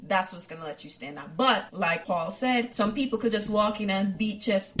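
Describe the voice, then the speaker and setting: A woman's voice: medium pitch, moderate pace, a bit bright. A middle-aged woman from Jamaica hosting a podcast, talking casually and repeating key phrases for emphasis. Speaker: podcast host.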